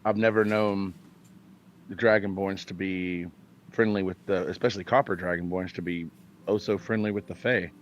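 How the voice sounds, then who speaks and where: A man's voice: sits low, fairly even intonation, moderate pace, dull audio, a little hiss or hum, close to an everyday voice. A man playing a friendly, curious adventurer in a tabletop fantasy game, chatty and apologetic, often repeating his words. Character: friendly, polite, curious adventurer with bugbear lineage.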